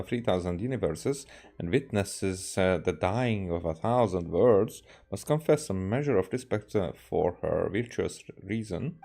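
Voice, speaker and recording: A grandiose teenage princess from a game, florid and pompous, read by a man. The voice is low and relaxed, and the speeches come out haltingly. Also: creaky, heavily acted. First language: Hungarian. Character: grandiose, theatrical teenage girl playing dark princess. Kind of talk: reading game dialogue aloud.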